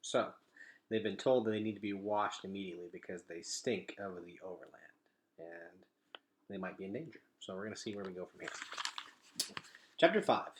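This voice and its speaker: A man reading a children's novel aloud as a storyteller, with expressive, emphatic phrasing. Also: on the low side, normal speed, long pauses, rough recording. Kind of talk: animated children's book reading.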